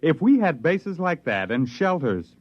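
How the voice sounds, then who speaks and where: A man's voice: a little high, very animated, measured pace. An actor playing an aging, stubborn retired government man obsessed with his old project, in mid-century radio drama style with emphatic delivery. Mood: weary, insistent. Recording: dull vintage radio recording.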